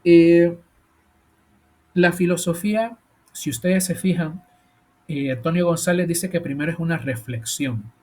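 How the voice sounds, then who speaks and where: A man's voice: on the high side, a little slow, pauses often, darkish tone. A man teaching a philosophy class, thinking aloud with many fillers and rhetorical questions. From El Salvador. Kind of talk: university lecture.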